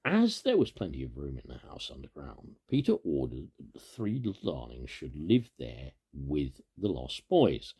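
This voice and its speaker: A man with a very low, creaky voice reads a children's story at an unhurried pace. His pitch swings widely as he moves between informal asides and animated, husky storytelling. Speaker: storybook reader.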